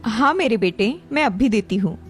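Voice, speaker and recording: Woman voicing a caring mother, high-pitched. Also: animated, normal speed, soft background music, lightly acted. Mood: affectionate. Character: caring, supportive mother of a grown son.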